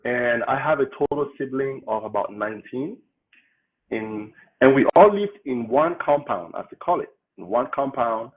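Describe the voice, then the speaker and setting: A man's voice: medium pitch, lively intonation, normal speed. Man from Cameroon on a tinny line. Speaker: political candidate. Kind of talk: interview storytelling.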